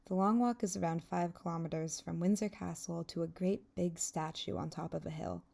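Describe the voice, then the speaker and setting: A woman's voice: fairly low pitch, moderate pace, vocal fry, a little mellow. A young woman who grew up in England and then the US, reading a reflective, wistful scripted voice-over for her own vlog. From England until age ten, then the US. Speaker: vlogger narrating her own video.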